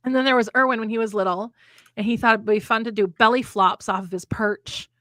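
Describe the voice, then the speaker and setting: Casting: American woman, cheerful storyteller who laughs a lot, a touch high, close and dry mic. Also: fairly even intonation, brisk, fairly bright tone, no echo. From United States. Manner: chatty storyteller, laughs often. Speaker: podcast co-host, author. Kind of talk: casual chat between friends.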